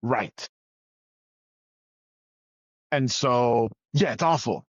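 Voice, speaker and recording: A man's voice: medium pitch, very slow, slightly rough, no room sound. A man from Trinidad and Tobago telling his story as a podcast guest, conversational and emphatic, repeating phrases for weight. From Trinidad and Tobago, moved to the US at nine. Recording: slightly dull, lo-fi sound.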